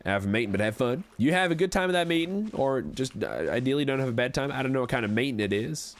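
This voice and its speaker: A man's voice: medium pitch, lively intonation, quick pace, natural voice. An Australian man voicing a friendly, polite cowboy with a put-on American Southern accent, chatting casually and folksily as he streams a game. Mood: grateful, amused. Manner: folksy, courteous, rambling. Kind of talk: casual livestream chat over gameplay.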